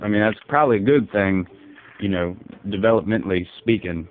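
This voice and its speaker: A man playing a folksy, easygoing, bewildered dad, rambling deadpan on a prank phone call. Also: lowish voice, fairly quick, lightly acted. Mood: resigned, musing. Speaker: prank caller. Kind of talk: casual phone prank, improvised. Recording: muffled narrow phone line.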